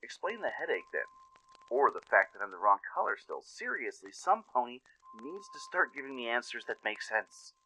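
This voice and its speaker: Male actor as confused pony wanderer, exasperated, sarcastic, halting, very bright forceful voice, audio drama. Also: medium pitch, big pitch swings, a little hiss or hum, voice pushed up, rough recording. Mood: pained, irritated. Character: bewildered, grumbling young pony wanderer thinking aloud.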